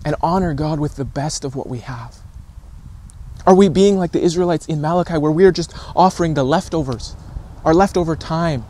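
A man in his thirties giving an informal sermon in an earnest, deliberate way, with emphatic repetition and pauses. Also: fairly high pitch, darkish tone. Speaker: preacher. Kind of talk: informal recorded sermon to camera. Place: outdoors on a rocky shore by calm water.

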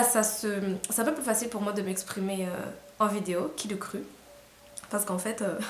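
A young woman from Rwanda, talking to camera at night, tired and hesitant, with many fillers and restarts. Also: medium pitch. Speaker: vlogger. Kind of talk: casual reflective monologue to camera. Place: small room indoors. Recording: roomy echo, faint hiss.

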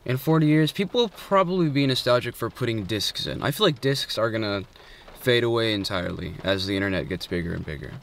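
A man's voice: on the low side, animated, vocal fry, fairly bright tone, a little hiss or hum. A young man answering quirky questions in a casual, joking way, filming himself with a phone in a parked car. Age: young adult. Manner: playful, joking, quick asides. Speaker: vlogger. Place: inside a parked car at night. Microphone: phone held at arm's length.

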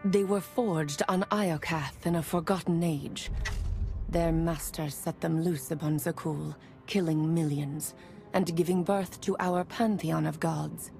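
An actress playing a solemn prophetic seer in a video game, grave and measured in manner. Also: female voice, sits low, fairly even intonation, vocal fry, a little mellow. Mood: solemn, foreboding. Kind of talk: video game cutscene acting. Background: faint game music.

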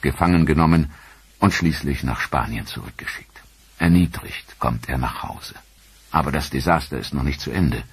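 Deep husky-voiced male documentary narrator. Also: dry recording. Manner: measured, earnest storytelling delivery.

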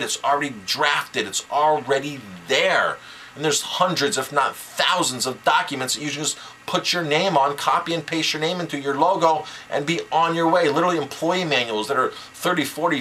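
Middle-aged man, chef giving restaurant tips, bright edgy voice, eager and repetitive, faint hiss behind. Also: medium pitch, rough recording. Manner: enthusiastic, repeats words, self-promoting. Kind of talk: casual direct-to-camera advice.

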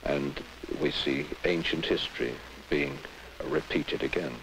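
A man interpreting into English in a measured, halting way, recorded in an old broadcast. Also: a bass voice, creaky. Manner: measured, halting, restarts phrases. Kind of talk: live interpreting. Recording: old broadcast with faint hiss.

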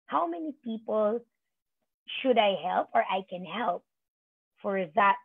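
A woman's voice: medium pitch, expressive, slow pace, creaky, breathy edge, no room sound. A woman in her thirties, a business coach, giving a rousing, repetitive motivational speech on a video call. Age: 30s. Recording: tinny call audio.

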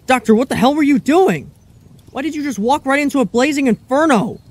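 A man's voice: very high-pitched, animated, a little fast. A man performing earnest, alarmed adventurers in a fan-made audio drama, with dramatic, emotive delivery. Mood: panicked, shouting. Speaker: voice actor performing all parts.

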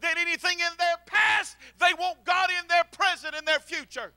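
A middle-aged man preaching a sermon into a handheld mic in a church, swinging from shouted exhortation to quiet storytelling. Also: very high-pitched, steady tone, moderate pace, no echo. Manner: preaches while pacing the platform. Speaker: pastor. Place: church sanctuary.

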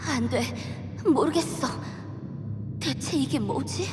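A woman playing an earnest, gentle small young sorceress in a video game cutscene. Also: high voice, expressive, slow. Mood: troubled. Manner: earnest, polite. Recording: echoey hall reverb, music underneath.

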